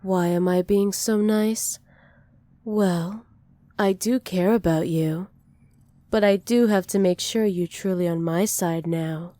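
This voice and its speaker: A woman playing a dominant, possessive villain who dotes on a captive, softly menacing and teasing in an ASMR roleplay. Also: fairly low pitch, steady tone, slow pace, dry recording. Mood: smug, affectionate. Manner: soft, teasing menace with breathy whispered endearments.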